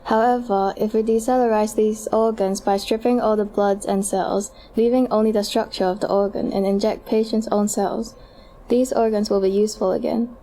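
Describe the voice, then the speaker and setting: A woman's voice: medium pitch, steady tone, moderate pace, dark tone, slight background noise, lightly acted. A woman playing an informative, persuasive medical adviser in a scripted audio drama. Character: future medical adviser pitching donor-heart scaffolds, informative, persuasive.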